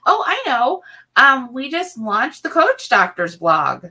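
A middle-aged woman co-hosts a podcast in a slow, rambling way, full of ums and restarts. Her voice is bright and edged with vocal fry. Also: high-pitched, huge pitch swings. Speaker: co-host and assistant. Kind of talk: casual podcast chat.

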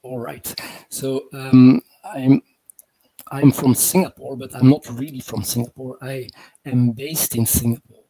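A man from continental Europe, a guest economist, explaining things in a measured, hesitant way and trailing off softly. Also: mid-range voice, flat delivery, slightly rough, low-quality recording. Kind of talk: explanatory interview talk.

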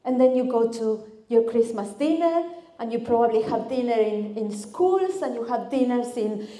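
A woman from Argentina with an Argentine Spanish accent and Spanish as her first language, preaching as a guest speaker in a church, expressive and lively as she tells stories. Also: on the high side, moderate pace. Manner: expressive, lively storytelling with vivid lists. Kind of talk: sermon. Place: church hall. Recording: echoey church hall.

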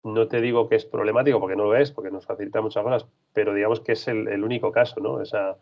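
A freelance basketball reporter answers interview questions in a low, gravelly voice. He talks thoughtfully, rambles, and restarts often. The recording sounds dull and lo-fi. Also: steady tone, fast talker.

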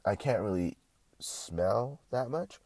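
Young guy with a real low voice and a blocked-up nose, cussing and rambling on his vlog, dry close sound. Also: lively intonation, takes it slowly, darkish tone, dry recording. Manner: casual, profane, rambling with restarts. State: congested, stuffy nose. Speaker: vlogger.

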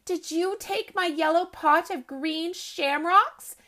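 A woman voicing an eager, fretful little male rabbit in a storybook reading, high and excitable. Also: takes it slowly, heavily acted. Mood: worried. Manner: excited, high and bright in his exclamations.

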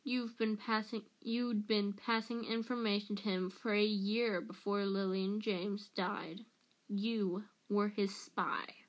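A woman reader performs a furious, bitter man bent on vengeance in a storybook. He speaks very slowly and forcefully, pressing each accusation home. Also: mid-range voice, flat delivery, natural voice. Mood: bitter, accusing. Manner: slow, forceful, accusing delivery.

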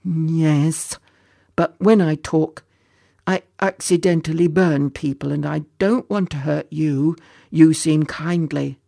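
A narrator plays a great sorrowful dragon from a children's storybook. It rumbles slowly and mournfully, with a creaky edge, feeling sorry for itself yet meaning no harm. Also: fairly even intonation, warm tone, dry recording, clean studio sound. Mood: distressed, self-pitying. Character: huge sorrowful dragon, gruff, self-pitying, kindly at heart.

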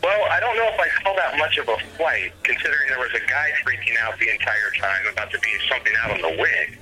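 A man's voice: mid-range voice, expressive, quick pace, bright, forceful tone, faint music behind, poor audio. A man playing a flippant, breezy friend phoning in, in an audio drama, with a telephone-filtered voice.

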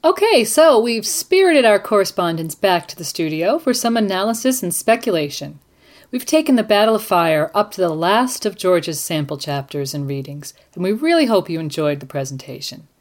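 A woman's voice: mid-range voice, expressive, moderate pace. A woman hosting a podcast, presenting in a prepared yet conversational style. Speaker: podcast host. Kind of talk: scripted-sounding presentation and chat.

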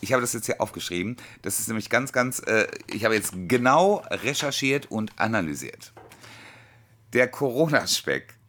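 Lively, joking man in his forties. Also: medium pitch, moderate pace. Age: 40s. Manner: chatty, joking, animated storytelling. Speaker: podcast co-host. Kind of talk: casual chat.

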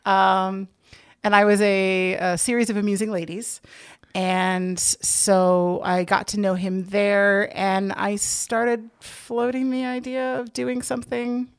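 A woman's voice: medium pitch, little pitch movement, slow, clear, resonant tone, no echo. A woman chatting casually as a guest, telling stories in an emphatic way and repeating herself for effect. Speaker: guest, organiser of play table readings. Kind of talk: casual chat.

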